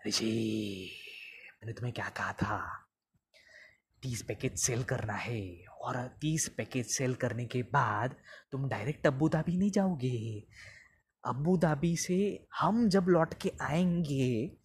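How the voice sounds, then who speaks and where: A man voicing a patronising, mock-fatherly company boss with a condescending, sometimes hushed manner. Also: mid-range voice, takes it slowly, husky.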